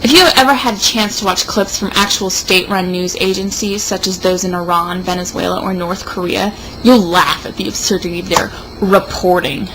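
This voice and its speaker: A tipsy woman reading an opinion article aloud in a mock-serious, emphatic, drawn-out way, with wry asides. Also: fairly low pitch, fairly even intonation, background noise. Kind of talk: theatrical read-aloud with mocking asides.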